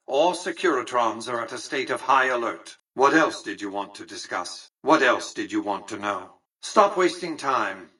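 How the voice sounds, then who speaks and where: Husky, haughty tycoon. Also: male voice, medium pitch, sharp tone, recorded from a distance, low-quality recording. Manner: precise, formal, haughty. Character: imperious, calculating old male tycoon, coolly condescending. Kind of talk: video game character dialogue.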